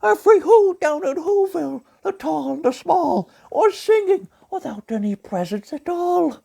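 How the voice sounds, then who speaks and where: A man voicing a gentle, childlike, honey-loving cartoon bear who reads a storybook in a husky, polite, fretting manner. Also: very high-pitched, lively intonation, a little slow, pitched above the performer's own voice, exaggerated voice. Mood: gentle wonder. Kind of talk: storybook reading as cartoon impression.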